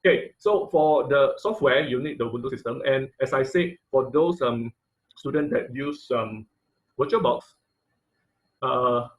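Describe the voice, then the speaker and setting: Halting male online class instructor. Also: medium pitch, muffled recording. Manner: halting, hesitant phrasing with repeated words. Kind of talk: informal online lecture, instructing students. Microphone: computer mic on a video call.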